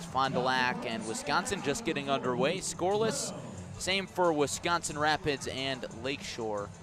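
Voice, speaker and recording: A man calling baseball play-by-play for radio, conversational and storytelling between pitch calls, with clearly structured sports commentary delivery.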